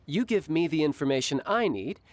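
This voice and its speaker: An actor playing a suave, scheming banker in a game, amused and smooth. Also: male voice, fairly high pitch, animated, a little fast, a little hiss or hum, natural voice. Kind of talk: game voice acting. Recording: game audio with music behind.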